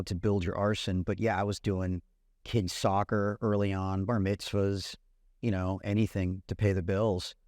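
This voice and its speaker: A low-voiced man in his thirties chats as an interview guest, relaxed and easygoing. He often says "you know" and "man". The recording is dry and close, with no room sound. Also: no room sound. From Puerto Rican family, raised in California. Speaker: guest, celebrity content creator. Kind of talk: casual interview chat.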